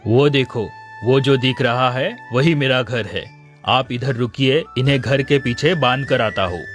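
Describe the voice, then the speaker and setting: A man plays a smooth-talking village sheep swindler from an animated moral tale. He is glib and puts on innocence, in a medium-pitched voice with music playing underneath. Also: unhurried, close to an everyday voice. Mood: glib, friendly. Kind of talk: Hindi animated moral story dialogue.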